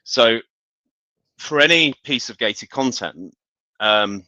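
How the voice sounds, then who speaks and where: A man explaining things in a measured, consultant-like way as an expert on a business webinar. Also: mid-range voice, lively intonation, pushed and bright, dry recording. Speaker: industry expert panelist. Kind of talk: conversational business webinar discussion. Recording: dull, band-limited online call audio.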